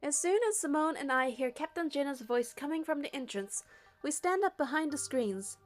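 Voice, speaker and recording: A high-voiced woman narrates a game story in the first person. She reads from a script, with light music behind her. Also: animated, normal speed.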